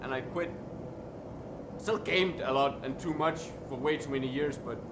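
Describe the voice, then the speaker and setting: A man's voice: medium pitch, moderate pace. A young man with a Danish accent, chatting candidly and rambling as he streams from his metal workshop, swearing casually. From Denmark. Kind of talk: casual chat while working on stream. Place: indoor workshop. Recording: echoey workshop, air conditioning hum.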